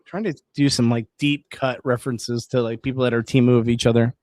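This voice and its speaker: A man at a middle pitch hosts a late-night live stream, rambling casually to his viewers and reading their comments aloud. His voice is wearing hoarse after hours of talking. The sound is dry and close, with no room around it. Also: steady tone, a little fast, darkish tone, no echo. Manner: rambling, reads chat aloud, restarts words. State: voice going hoarse.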